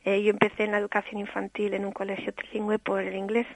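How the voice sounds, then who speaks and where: A woman's voice: mid-range voice, completely flat delivery, fast talker, dry recording, rough recording. A woman raised in Australia, director of an early stimulation center, explaining her methods in a radio interview with a patient, teacherly manner. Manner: explanatory, warm teacherly tone with diminutives.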